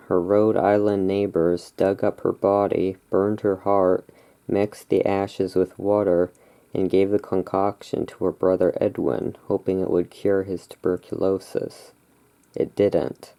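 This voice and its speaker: A host giving a relaxing ASMR reading of a historical article, with relaxed, informal delivery. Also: low-pitched, rough recording.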